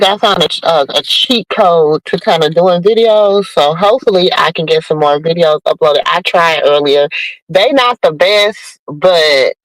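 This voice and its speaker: A woman's voice: lowish voice, dry recording, rough recording. Woman host with a drawl, nasal and stuffed up, chatty and familiar, dry close sound. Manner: drawling, calls listeners baby and y'all. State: nasal from a sinus infection. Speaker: podcast host. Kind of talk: casual chat to viewers.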